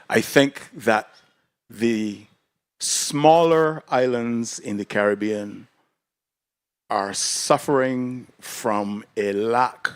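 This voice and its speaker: Slow, measured low-voiced man. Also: dry recording. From Barbados. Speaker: panelist. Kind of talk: panel discussion talk. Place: conference hall panel.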